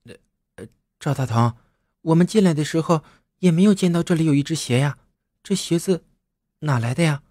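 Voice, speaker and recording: A man's voice: a little high, brisk, pauses often, dark tone, no echo, clean studio sound, pitched above the performer's own voice, close to an everyday voice. A man doing a hesitant puzzled young woman. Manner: hesitant, questioning.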